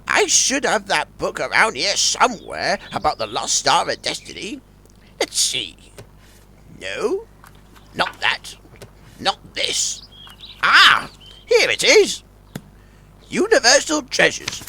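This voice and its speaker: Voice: medium pitch, wildly swooping pitch, takes it slowly, creaky, pauses often, bright, forceful tone, slight background noise, full character voice. An actor playing a kindly, eccentric old male tortoise professor in an audio drama. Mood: musing.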